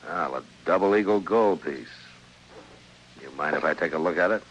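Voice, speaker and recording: An American actor playing a stern, dryly sardonic frontier marshal in an old-time radio western, deliberate and laconic. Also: male voice, low-pitched, a bit bright, lo-fi sound, slight background noise. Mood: stern, challenging.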